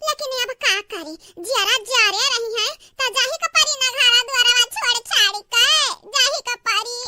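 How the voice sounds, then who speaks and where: An aggrieved village sister-in-law from a comedy cartoon, scolding and wailing theatrically. The voice is extremely high and piercingly bright, as if artificially pitched up, with a Bhojpuri-Awadhi accent from North India. Faint noise sits behind it. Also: moderate pace, exaggerated voice. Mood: tearful, complaining. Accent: Bhojpuri-Awadhi belt of North India. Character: melodramatic aggrieved village sister-in-law threatening to leave home. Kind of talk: exaggerated family comedy cartoon dialogue in rural dialect.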